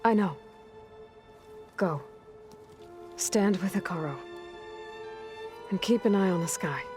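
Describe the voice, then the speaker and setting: A woman's voice: mid-range voice, takes it slowly, lightly acted. A woman voicing a determined young warrior leader in a video game, weary but resolute, with music behind her. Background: background music.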